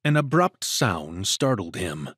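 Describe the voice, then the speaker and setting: A man narrating a dramatic story from a script in a measured, literary and expressive manner. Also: deep voice, breathy edge, no room sound, studio quality.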